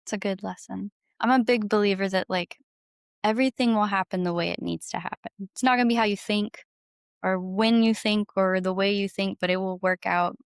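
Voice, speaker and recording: A woman's voice: mid-range voice, fairly even intonation, brisk, gravelly, clean tone, no room sound, clean studio sound. A young adult woman chatting casually as an interview guest, candid and gushing in an informal way. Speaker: guest, singer-songwriter. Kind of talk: casual chat, storytelling.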